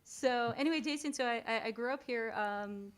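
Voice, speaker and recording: Chatty woman, high voice. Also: moderate pace, over a noisy crowd. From French Canada, Montreal. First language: French. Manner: chatty, giggly, trailing restarts. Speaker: guest songwriter. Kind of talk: casual chat.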